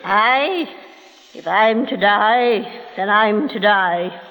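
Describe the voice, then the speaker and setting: An actress playing a defiant, secretive old village healer in a 1940s radio drama, with theatrical, archaic speech. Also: female voice, medium pitch, drawn-out words, fairly bright tone, rough recording. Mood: plaintive, resigned. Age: older.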